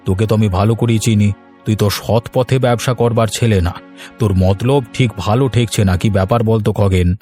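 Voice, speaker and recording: A man voicing a gruff, suspicious village elder in a cartoon, scolding and grumbling. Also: fairly low pitch, steady tone, quick pace, dark tone, soft background music, natural voice. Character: gruff, suspicious village headman and put-upon old fisherman. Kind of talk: cartoon story dialogue.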